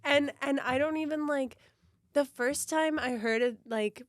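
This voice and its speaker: A young adult woman, a podcast guest, chatting casually in a rambling way, full of "like" and quick laughs. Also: sits high, no room sound, clean studio sound. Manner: rambling, heavy "like" filler, laughs often.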